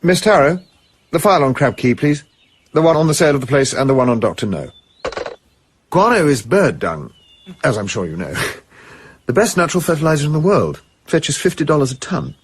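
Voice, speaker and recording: An actor playing a genial colonial official in a radio drama, chatty and plummy, with an upper-class English accent. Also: male voice, fairly high pitch, big pitch swings, fairly quick, husky. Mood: helpful. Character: genial pipe-smoking old Harrovian colonial official.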